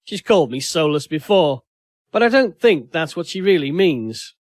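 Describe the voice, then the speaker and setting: A man's voice: a little high, big pitch swings, normal speed, no room sound, studio quality. A man narrating first-person fiction as a dramatic audiobook reading.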